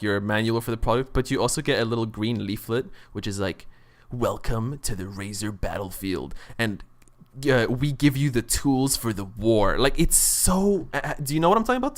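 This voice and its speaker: A man's voice: medium pitch, animated, normal speed. A man hosting a casual gaming podcast, talking in a loose, joking way. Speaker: podcast host.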